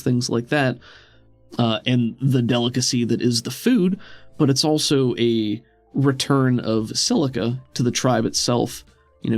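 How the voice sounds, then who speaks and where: A man's voice: mid-range voice, vocal fry, dry recording. A man working as game master, narrating a tabletop roleplaying scene in a conversational, descriptive way. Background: music.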